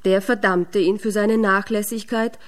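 A woman's voice: mid-range voice, steady tone. Woman narrating a fairy tale. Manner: measured storyteller delivery.

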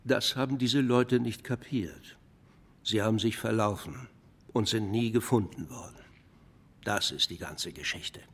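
A man's voice: on the low side, a little slow, fairly bright tone. An actor playing a skeptical, matter-of-fact backwoods man in an audio drama. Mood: explaining firmly. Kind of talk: German audio drama acting.

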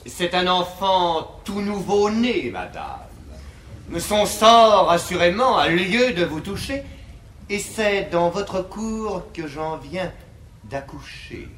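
An actor playing a vain, unctuous salon poet in a classical French stage comedy, declaiming pompously and with affectation. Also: male voice, sits high, big pitch swings, slow pace, a bit bright, heavily acted. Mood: simpering, self-satisfied. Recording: echoey theatre stage, noisy 1950s recording.

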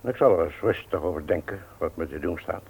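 A man's voice: deep voice, darkish tone, slightly rough, heavily acted. A man acting in a radio play as a stubborn, formal widowed uncle in his seventies who runs the family business. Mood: stiffly resigned. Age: older. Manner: formal, measured, unyielding. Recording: tinny, narrow-band old radio recording.

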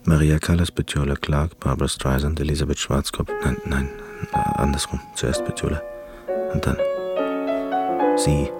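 An eccentric, reclusive pianist with an extremely low voice. He rambles in an intimate, self-absorbed and theatrical way, as in a confessional radio play. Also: level tone, moderate pace, gravelly, over music. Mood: intimate, yearning. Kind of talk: radio drama acting, confessional monologue.

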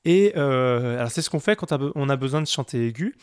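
A man co-hosting a music podcast, explaining things in a casual, halting way with repeated words. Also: mid-range voice, expressive, smooth, no echo, studio quality. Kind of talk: casual album review chat.

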